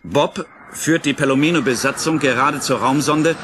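A man's voice: medium pitch, moderate pace, over music. A man narrating a radio play in a scripted, suspenseful style with theatrical emphasis.

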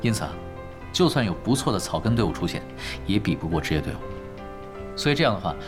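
An actor playing a calm, confident young male pro gamer in an audio drama, unhurried and explanatory with light teasing. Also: on the low side. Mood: calm explaining. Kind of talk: Chinese audio drama acting. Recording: studio voice over clear background music.

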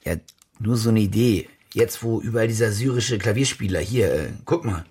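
A man's voice: fairly low pitch, moderate pace, darkish tone, slightly rough. An actor playing a cynical, foul-mouthed war reporter in a modern radio drama, switching between German and English. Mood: impatient. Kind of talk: modern radio drama, natural dialogue and narration.

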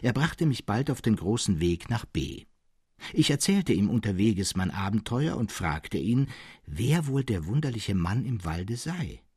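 Husky, low-voiced male literary narrator. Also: big pitch swings, measured pace, darkish tone, no room sound, clean studio sound. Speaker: narrator, reader. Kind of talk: expressive literary scripted narration.

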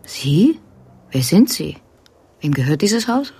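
Radio thriller: conspiratorial, probing woman, very raspy voice with lively swings, urgent and hushed. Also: low-pitched, slow pace, heavily acted. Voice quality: husky, gravelly, breathy. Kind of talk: radio drama dialogue.

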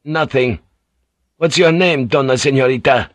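A man's voice: medium pitch, normal speed, no room sound, voice pushed up, close to an everyday voice. A man doing a coarse leering boatswain. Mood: gruff, blustering. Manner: coarse, blustering, lewd. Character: crude leering middle-aged male ship's boatswain. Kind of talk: audiobook character voice.

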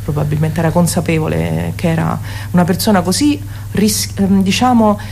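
A woman being interviewed as a performer, speaking spontaneously and pausing to search for words. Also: lowish voice, steady tone, a little mellow. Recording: clear background ambient noise.

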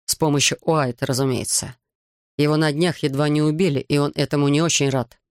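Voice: medium pitch, steady tone, fairly quick, clear, resonant tone, no room sound, studio quality, lightly acted. A narrator voicing a hard, pressing male agent in a thriller audiobook. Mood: hard, accusing.

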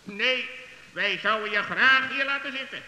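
Piercing, distrustful bird. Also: male voice, high-pitched, a little slow, sharp tone, heavily acted. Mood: stern. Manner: sarcastic, curt. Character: sharp, mocking, distrustful male talking bird. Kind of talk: children's radio play acting. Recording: echoey hall reverb.

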